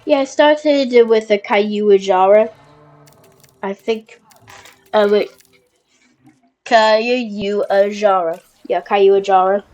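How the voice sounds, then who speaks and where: A woman with a blocked nose from a cold, giving casual, halting commentary over a building game, with lots of fillers and restarts. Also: mid-range voice, a little mellow, lo-fi sound. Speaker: gameplay video creator.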